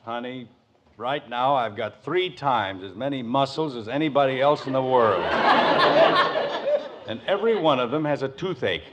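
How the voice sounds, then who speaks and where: A man's voice: mid-range voice, expressive, normal speed, background noise, close to an everyday voice. An American man acting a proud, exhausted middle-aged suburban father in a 1950s radio sitcom, complaining with dry exaggeration. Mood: groaning, grumbling. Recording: dull old radio recording, loud audience.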